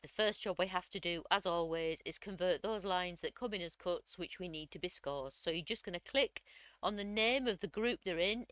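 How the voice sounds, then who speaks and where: A woman, slightly croaky from a cold, chattily walking through a crafting software tutorial step by step. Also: on the low side, no room sound. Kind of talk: screen-recorded software tutorial.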